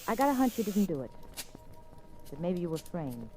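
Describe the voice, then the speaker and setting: An actress playing an earnest, caring young newspaper reporter, sincere and pleading, in 1940s radio style. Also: female voice, on the low side, sing-song, normal speed, warm tone. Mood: earnest, probing. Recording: noisy old radio recording, music behind.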